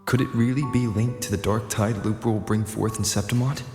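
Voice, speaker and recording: A man voicing a calm, pensive young male wanderer hero in a video game, serious and questioning. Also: on the low side, brisk, in a large hall, soft background music, lightly acted.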